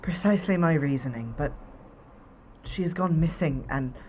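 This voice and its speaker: A performer voicing a grave shapeshifter leader who speaks in a formal, measured way, heard as a muffled lo-fi phone call. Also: mid-range voice, darkish tone, a little hiss or hum, natural voice.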